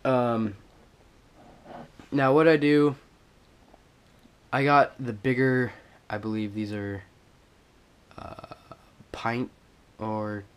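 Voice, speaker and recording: Slow, congested young man vlogging. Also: on the low side, clear, resonant tone. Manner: halting, many fillers and restarts. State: stuffed up from a cold. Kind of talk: casual show-and-tell vlog. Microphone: action camera on a tripod.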